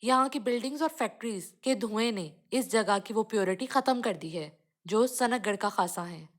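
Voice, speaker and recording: A woman's voice: mid-range voice, normal speed, close to an everyday voice. A woman voicing an easygoing, busy city uncle in a cartoon, talking casually and reassuringly.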